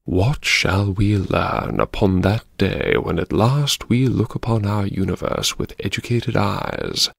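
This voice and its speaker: An actor playing a genteel, troubled gentleman diarist, narrating an audiobook in a mannered, hesitant, politely formal and wryly confessional way. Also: lowish voice, animated, slow pace, creaky, few pauses, husky, no echo, clean studio sound, heavily acted. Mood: solemn. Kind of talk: first-person dramatic audiobook narration.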